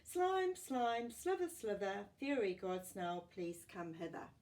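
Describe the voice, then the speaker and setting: A woman voicing a discontented, excitable young male snail in a children's storybook, stretching out words and exclaiming. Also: medium pitch, takes it slowly. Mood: eager.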